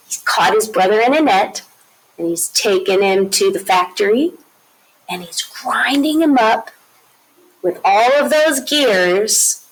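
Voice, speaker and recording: Woman, children's read-aloud, slow delivery, very lively intonation, drawn-out emphasis, teacherly asides. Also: medium pitch, low-quality recording. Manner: expressive, drawn-out emphasis, explains pictures. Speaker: teacher reading a picture book aloud.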